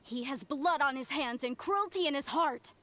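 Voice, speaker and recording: An earnest game lady with a grudge, pretty high voice, formal and dramatic. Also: moderate pace, fairly bright tone, a little hiss or hum. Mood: bitter, indignant. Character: earnest, resentful woman seeking proof against a god. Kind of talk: video game voice acting.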